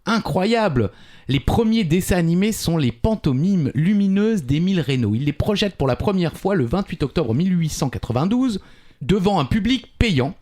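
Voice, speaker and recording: A man's voice: high voice, big pitch swings, normal speed, a little mellow. A man co-hosting a quiz podcast, chatting casually and reading out trivia with a playful, teasing touch.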